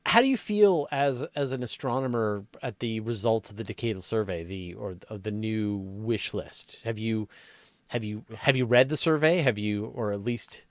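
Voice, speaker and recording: A man's voice: on the low side, normal speed, dry recording, studio quality. A man hosting an interview in a casual, conversational style, musing and putting questions to his guest. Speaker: interview host.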